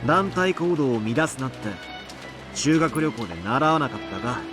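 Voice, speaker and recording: Wry, cocky young man acted by a man, visual novel, very rapid delivery over music. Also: medium pitch, lively intonation, natural voice. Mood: teasing. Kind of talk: Japanese visual novel acting.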